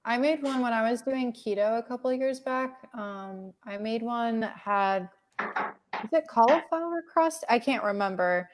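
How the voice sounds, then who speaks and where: A woman's voice: on the high side, a little slow, a little hiss or hum. A young woman hosting a casual livestream chat, rambling with many fillers and laughing often. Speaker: livestream host.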